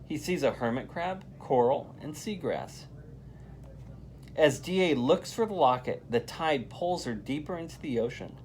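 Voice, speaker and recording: A man reading a children's picture book aloud as a volunteer, in an expressive, emphatic way. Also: a little high, unhurried, slight background noise. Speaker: volunteer read-aloud reader.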